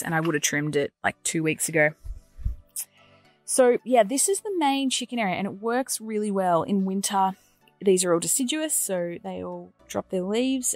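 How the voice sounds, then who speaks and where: A woman with a low-pitched voice hosts a casual walking tour outdoors. She talks in an informal, chatty way, handing out practical tips. Faint music sits behind her voice. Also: dry recording. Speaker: host giving a garden tour. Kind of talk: casual walk-and-talk vlog narration. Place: outdoors in a large netted garden run.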